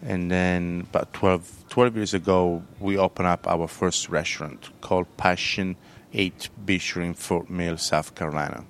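Deep-voiced Italian-accented man, halting. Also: steady tone, a little hiss or hum. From southern Italy. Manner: halting, unhurried, many fillers and restarts. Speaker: chef and restaurant owner, guest. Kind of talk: relaxed storytelling in a radio interview.

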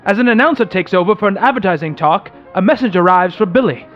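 Male radio play narrator, very high pitch, lively dramatic intonation, scripted announcer-host delivery, soft music behind. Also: fast, dull audio.

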